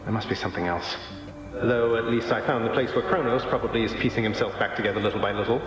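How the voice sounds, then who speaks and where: An actor playing a young underworld prince in a video game, a wry, casual and rebellious brother who speaks in dry understatement, with music behind him. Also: male voice, on the low side, quick pace, natural voice. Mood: wry, confident. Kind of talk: video game character dialogue. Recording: very echoey with long reverb, music behind.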